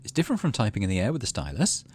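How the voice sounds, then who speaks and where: A man's voice: on the low side, huge pitch swings, racing, a little mellow, husky, heavily acted. A man voicing a cultured, self-assured schoolgirl in a game story, with a showy manner. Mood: gently coaching. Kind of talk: character reading in game story.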